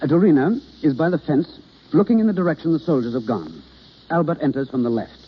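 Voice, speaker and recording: Male actor as theatrical drama coach, vintage radio play. Very lively intonation, instructive, slightly hesitant, muffled hissy recording. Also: a little high, quick pace, lightly acted. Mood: hesitant, polite. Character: male theatre understudy coaching a novice, impatient yet kindly. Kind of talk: old-time radio drama acting.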